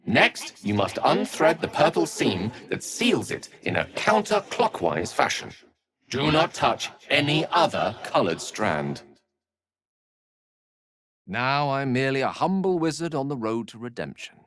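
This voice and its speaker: An actor playing an eloquent, wry wizard in a video game, speaking in articulate, theatrical phrases with an earnest streak. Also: male voice, medium pitch, very animated, unhurried, a bit bright. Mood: instructive, reflective. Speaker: video game companion character. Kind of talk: scripted video game voice acting.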